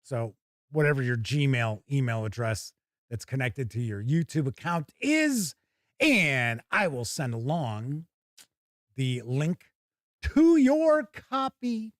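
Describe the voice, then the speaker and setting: A man's voice: medium pitch, sing-song, slow pace, no room sound, clean studio sound. A middle-aged man hosting a tabletop gaming livestream, chatting casually in a rambling, informal way. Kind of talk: casual livestream chat and product first look.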